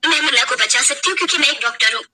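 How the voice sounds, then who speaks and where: A woman's voice: sits high, rapid-fire, pushed and bright, slight background noise. A woman playing a defiant, pleading young kidnapped bride in a dramatic story. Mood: resolute.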